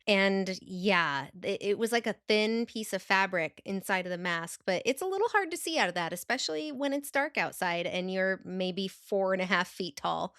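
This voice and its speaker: A woman's voice: medium pitch, a bit bright, smooth, dry recording, studio quality. A woman co-hosting a podcast in casual chat, with a wry, joking manner. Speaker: podcast co-host.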